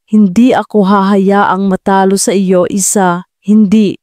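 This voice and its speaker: A woman's voice: mid-range voice, level tone, measured pace, a little mellow, dry recording, close to an everyday voice. A woman voicing a vengeful, unhinged villainess making furious threats in an audio drama. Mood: defiant fury. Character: raving, vengeful villainess screaming threats in fury.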